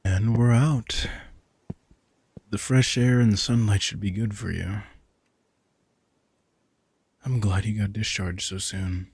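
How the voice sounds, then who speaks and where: A man's voice: fairly low pitch, fairly even intonation, slow pace, long pauses, a little mellow, husky, no room sound, full character voice. A man playing a centuries-old vampire in an intimate audio drama, speaking casually and dryly, sardonic and teasing yet protective, with some swearing. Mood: protective, condescending. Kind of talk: intimate roleplay audio drama, conversational acting.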